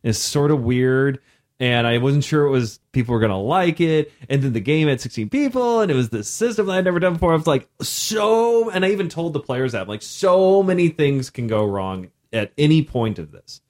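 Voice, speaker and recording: A man co-hosting a casual podcast chat about running games, with a loud voice that projects and an energetic, laughing manner. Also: a little high, normal speed. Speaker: podcast co-host and game master.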